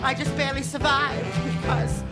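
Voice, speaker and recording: A woman playing a vengeful, theatrical villain in a stage musical parody, grandiose and gloating, singing and speaking in drawn-out phrases. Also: high voice, completely flat delivery, natural voice. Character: vengeful theatrical male space-tyrant villain, gleefully menacing. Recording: live stage performance over loud music. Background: musical accompaniment.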